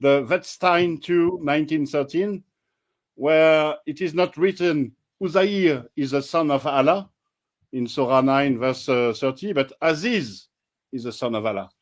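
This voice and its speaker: Blunt, measured man, firm guest. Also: on the high side. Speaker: guest researcher. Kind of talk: casual panel discussion.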